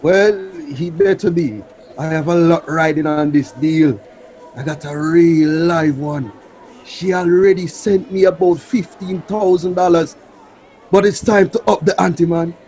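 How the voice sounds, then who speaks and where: An actor playing a pushy, slick scam boss in a radio drama. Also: male voice, fairly high pitch, unhurried, warm tone, lightly acted, low-quality recording. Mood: pushy, smug.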